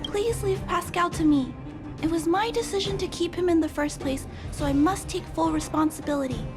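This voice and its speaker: Earnest young girl for a game, very high, gentle yet resolute, music behind. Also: normal speed.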